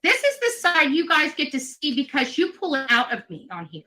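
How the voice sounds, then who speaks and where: A woman's voice: sits high, wildly swooping pitch, brisk, sharp tone. A woman livestreamer on an angry, profane, unscripted rant, defensive and combative, sounding tired. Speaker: livestreamer venting at online critics.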